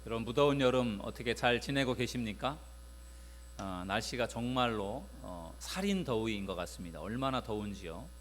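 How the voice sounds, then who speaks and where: Lively-voiced male pastor preaching. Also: medium pitch, moderate pace, slight background noise. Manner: conversational, with frequent filler sounds; greets the congregation and retells a Bible story. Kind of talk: Sunday sermon.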